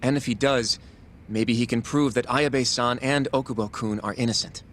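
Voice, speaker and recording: Actor as an earnest young lawyer, medium-pitched voice. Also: male voice, fairly even intonation, moderate pace, fairly bright tone, a little hiss or hum, close to an everyday voice. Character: earnest, polite, anxious young male lawyer. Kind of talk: English video game dub acting. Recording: faint music behind the voice.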